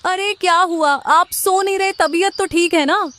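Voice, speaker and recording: A woman's voice: extremely high pitch, moderate pace, fairly bright tone, pitched above the performer's own voice. A woman voicing an emotional, concerned wife with a guilty, pleading manner in a cartoon. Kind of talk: Hindi cartoon voice acting.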